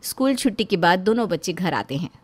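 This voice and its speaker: A woman's voice: lowish voice, sing-song, fast talker, breathy edge. A woman reading scripted narration for a moral story as its storyteller.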